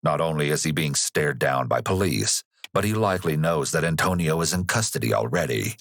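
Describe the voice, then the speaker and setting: A man narrating a true crime analysis video in a measured, explanatory way. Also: very deep voice, expressive, a bit bright.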